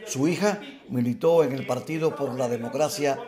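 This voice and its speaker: A male reporter reading a formal, measured news voice-over. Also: medium pitch, a crowd in the background.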